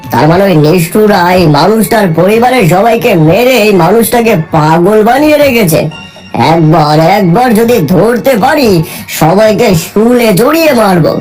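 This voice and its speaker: A woman's voice: mid-range voice, a little mellow, close to an everyday voice. A woman voicing a stern but caring middle-aged king who speaks firmly and earnestly. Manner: firm and earnest, vowing justice.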